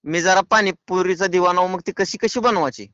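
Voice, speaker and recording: A man with a clear, smooth voice and a rural Maharashtrian accent plays the prank caller. He is cheeky and keeps pleading persistently. The sound is slightly dull, like lo-fi call audio. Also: sits high, fairly quick, sharp tone, no room sound. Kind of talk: comic prank phone call.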